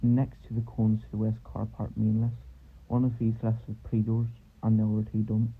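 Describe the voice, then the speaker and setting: Male tour narrator, informal, rather low and monotone, on a muffled recording. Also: normal speed. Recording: muffled lo-fi mic, loud noise.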